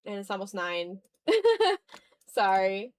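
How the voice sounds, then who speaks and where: A woman's voice: sing-song, slow pace. Tired woman in casual livestream chat. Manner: rambling, low-key, chatting to viewers. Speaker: streamer hosting a live art stream.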